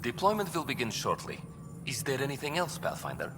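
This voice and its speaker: A man playing a dry, officious bureaucrat in a video game, speaking formally with a deadpan, wry delivery. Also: mid-range voice, a little fast, over music. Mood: formal, procedural. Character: dry, officious male bureaucrat managing cryo deployments. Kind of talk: video game character dialogue. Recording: reverberant hall, clear background ambience.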